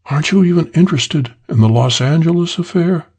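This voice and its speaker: A man voicing a soft, pleading young woman suspect in an audiobook, with a wheedling falsetto. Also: a little fast, slightly rough.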